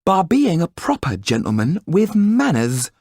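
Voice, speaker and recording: A man putting on a brash, flirtatious café owner's wife in a storybook reading, raised well above his own pitch. She talks emphatically and coquettishly. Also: very animated, fairly quick, a little mellow, no echo, studio quality.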